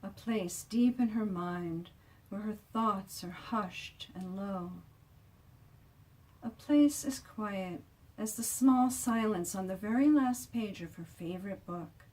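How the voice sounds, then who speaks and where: A woman reads a children's picture book aloud at an unhurried pace, in an expressive storytelling style. She is deliberate, lingering on key words for emphasis. The voice sits a little away from the microphone. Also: mid-range voice, far from the mic, poor audio. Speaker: children's author reading own book aloud.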